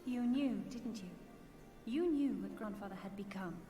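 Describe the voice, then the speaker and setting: A woman's voice: medium pitch, normal speed, close to an everyday voice. An actress playing an earnest, resolute, proud young noblewoman with formal, archaic diction in a fantasy video game. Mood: accusing. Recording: echoey game audio under loud music.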